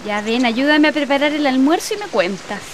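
A woman's voice: sits high, lively intonation, fairly quick, a little hiss or hum. A woman playing a firm but easygoing middle-aged mother with a Chilean accent.

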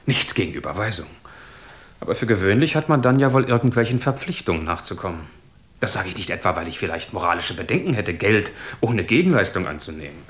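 An actor playing a bewildered, wry, wealthy Englishman in a German radio drama, musing aloud in an animated manner. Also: deep voice, fast. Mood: puzzled, musing.

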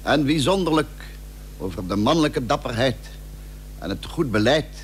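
A man's voice: medium pitch, animated, moderate pace, lightly acted. Pompous, ceremonious statesman, voiced by a man. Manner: pompous, ornate official formality. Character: ceremonious 17th-century statesman addressing an honoured commander. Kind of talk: Dutch radio play acting. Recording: old radio recording with faint hum.

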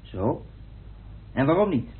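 An actor playing a guarded murder suspect in a radio play, answering tersely and coolly denying everything. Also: male voice, mid-range voice, big pitch swings, slow pace, slightly rough, heavily acted. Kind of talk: radio play acting in Dutch. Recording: dull, noisy old radio recording.

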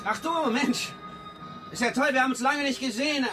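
High-voiced, nervous male debtor. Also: fairly quick. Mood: falsely cheerful. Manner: rambling, hesitant, placating. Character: nervous, evasive male debtor, babbling excuses. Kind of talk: German radio crime drama acting. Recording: music clearly behind the voice.

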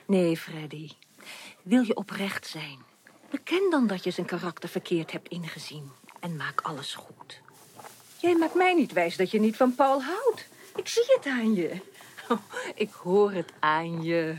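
A woman's voice: on the low side, sing-song, measured pace. A woman playing a frank, affectionate young confidante in a Dutch radio drama, coaxing and exclamatory. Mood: exasperated, coaxing. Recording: noisy radio drama recording.

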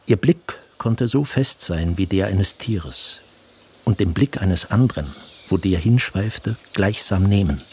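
Radio drama narrator: composed literary storyteller, lowish voice with very varied intonation, carefully phrased. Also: male voice, expressive, a little slow, darkish tone, a little hiss or hum. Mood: descriptive.

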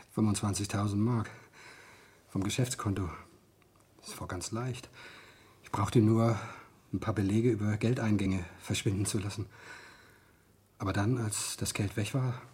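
An actor playing a cornered, guilt-ridden office clerk in a 1970s German radio crime drama, speaking in a halting, pleading manner. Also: male voice, on the low side, fairly even intonation, a little mellow, breathy edge. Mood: remorseful, confessing.

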